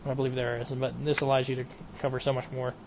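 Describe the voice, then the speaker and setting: A man's voice: mid-range voice, little pitch movement, fast talker. Rambling lo-fi gaming streamer guy. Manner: rambling, repeats himself, trails off with "and everything". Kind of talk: casual mod showcase. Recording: dull lo-fi home recording, faint noise.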